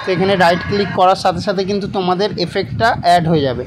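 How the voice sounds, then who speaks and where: A man's voice: high-pitched, steady tone, rapid-fire, noisy background. A man hosting an app tutorial, repeatedly addressing his viewers directly as he explains each step. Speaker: tutorial channel host. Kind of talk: scripted-sounding app tutorial narration.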